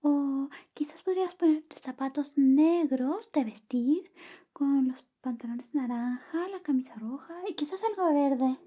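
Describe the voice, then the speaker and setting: A young woman playing a sly, clingy female best friend secretly in love, in a soft, whispery, coy ASMR roleplay. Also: high-pitched, measured pace. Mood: teasing, critical. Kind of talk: Spanish ASMR roleplay, personal attention.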